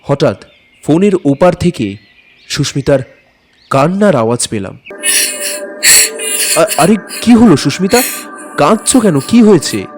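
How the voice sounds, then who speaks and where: A man's voice: a little high, big pitch swings, unhurried, pauses often, a little mellow, soft background music. An actor playing a courteous, hesitant maths tutor, telling his own eerie story in an audio drama. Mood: friendly, then concerned. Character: anxious, courteous male maths tutor recounting an eerie night. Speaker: narrator and lead character. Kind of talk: dramatic first-person ghost-story narration.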